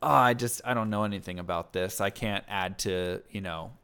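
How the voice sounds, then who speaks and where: A man's voice: on the low side, normal speed, gravelly, bright, forceful tone, clear, resonant tone. A man hosting a conversation, asking thoughtful, probing questions. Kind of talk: interview questions.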